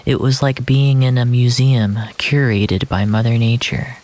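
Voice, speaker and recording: A narrator reading scripted first-person fiction in a measured, literary storytelling manner. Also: mid-range voice, fairly even intonation, a little mellow. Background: music.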